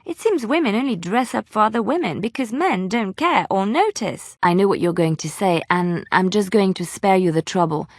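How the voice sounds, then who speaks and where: Young female bard played by an actress, fantasy game. Devout, wistful, emotive, mid-pitched, recorded dry. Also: animated, moderate pace, few pauses, no echo, close to an everyday voice. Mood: wry, light, then hurt, awkward. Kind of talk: dramatic fantasy game dialogue acting.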